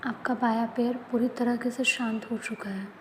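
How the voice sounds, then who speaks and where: A woman leading a guided yoga nidra relaxation, speaking in soft, often whispered instructions. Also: fairly high pitch, fairly even intonation, normal speed, slight background noise. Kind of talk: guided meditation.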